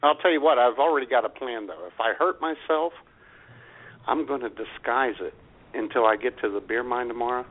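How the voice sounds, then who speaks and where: A man's voice: mid-range voice, fairly quick. A man co-hosting a podcast, making wry, self-mocking jokes in casual banter. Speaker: podcast co-host. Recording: tinny phone-like sound.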